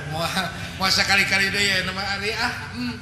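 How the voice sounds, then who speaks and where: A man's voice: high voice, fairly even intonation, brisk, sharp tone, slight background noise. A man with a Sundanese accent voicing an eager, agreeable puppet sidekick who chimes in with short echoing replies in a comic wayang golek show. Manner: short interjections, echoes the other speaker. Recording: echoey hall, long reverb.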